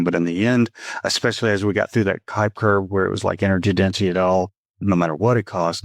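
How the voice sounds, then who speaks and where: A very deep-voiced man from Texas, in his fifties, with a faint Texan accent. He talks in an earnest, measured, explanatory way. The sound is dry and close, with no room around it. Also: no room sound. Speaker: company founder guest. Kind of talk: podcast interview answers.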